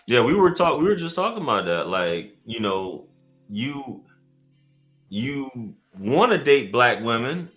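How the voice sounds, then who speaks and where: An American man hosting a casual live talk show, speaking in an animated, slangy way with punchy rhetorical questions. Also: medium pitch, unhurried, faint music behind.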